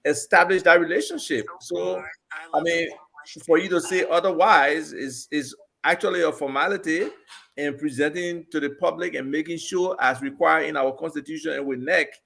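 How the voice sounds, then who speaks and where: A man's voice: a little high, fairly even intonation, normal speed, creaky, fairly bright tone. A man on a political talk show panel explaining his party's process and defending it.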